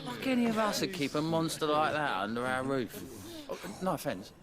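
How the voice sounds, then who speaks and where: A man's voice: on the high side, sing-song, brisk, crowd noise. An actor playing a blunt, crude male villager in a game cutscene. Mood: rueful.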